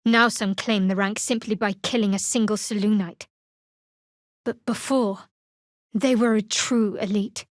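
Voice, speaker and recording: An actress playing a guarded, wry young half-elf cleric in a fantasy video game, speaking in a measured, dry, slightly haughty way. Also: female voice, medium pitch, steady tone, dry recording, close to an everyday voice. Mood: earnest, reflective. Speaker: video game character voice actor. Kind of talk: scripted fantasy game dialogue.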